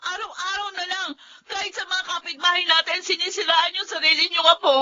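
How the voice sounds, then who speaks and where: Woman playing a very high-voiced, wounded young Filipina. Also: flat delivery, brisk, bright, forceful tone, full character voice. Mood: hurt protest. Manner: plaintive, emotional protesting. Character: hurt, defiant young granddaughter, anxious and wounded. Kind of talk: radio-style melodrama acting. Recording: dull lo-fi sound under music.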